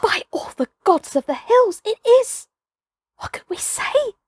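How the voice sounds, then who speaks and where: A woman's voice: very high-pitched, very animated, a little slow, no echo, voice pushed up, exaggerated voice. Woman as a solemn veteran elephant tracker. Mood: awed.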